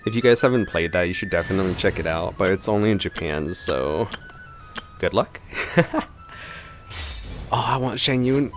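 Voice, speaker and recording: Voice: deep voice, expressive, normal speed, long pauses. A game reaction streamer giving casual, chatty commentary with laughing asides. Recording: game music playing behind.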